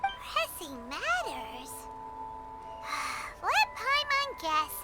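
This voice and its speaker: A woman voicing a small, cheeky, talkative girlish fairy companion in a video game, with a squeaky childlike voice. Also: wildly swooping pitch, drawn-out words, heavily acted. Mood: wry, teasing. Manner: speaks of herself in the third person, exclamatory. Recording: loud music behind the voice.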